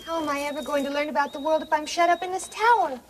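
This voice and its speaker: A woman's voice: high-pitched, quick pace, slight background noise, lightly acted. A woman playing a sheltered, defiant young maiden in a fairy tale drama, pleading and petulant. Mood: protesting.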